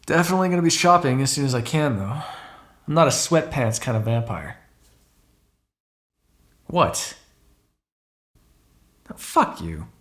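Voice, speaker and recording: A man performs a cocky, insatiable male vampire in an ASMR roleplay. His voice sits at an average pitch for a man. He talks in a casual, sarcastic way, needling and flirting with an unseen partner and swearing freely. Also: animated, unhurried, long pauses, fairly bright tone. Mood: teasing, flirtatious. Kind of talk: ASMR roleplay acting, one side of a dialogue.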